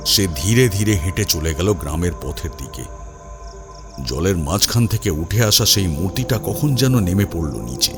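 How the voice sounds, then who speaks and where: A man narrating a scripted first-person horror story in a dramatic, suspenseful manner. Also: sits low.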